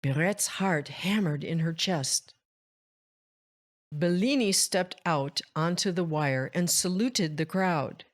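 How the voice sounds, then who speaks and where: Slow, low-pitched woman reading a storybook. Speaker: storytime host, children's book reader. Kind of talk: expressive scripted read-aloud narration for children. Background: music.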